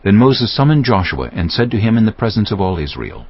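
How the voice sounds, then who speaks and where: A male narrator reads scripture in a measured storytelling style. His low voice is husky and moves widely in pitch, over faint music on a dull, muffled recording. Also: big pitch swings.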